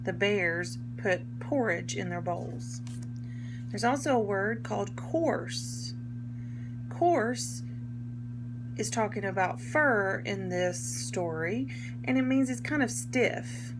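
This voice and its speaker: A woman reading a picture book aloud to young children like a teacher, expressively, pausing to explain words in simple terms. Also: mid-range voice, lively intonation, noisy background.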